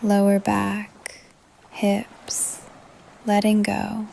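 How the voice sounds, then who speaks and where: A woman leading a guided meditation in a soft, calm, breathy voice that often trails into a whisper, with gentle pauses. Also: mid-range voice, slight background noise. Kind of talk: guided meditation, yoga nidra narration. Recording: ocean surf under the voice. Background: ocean waves ambience.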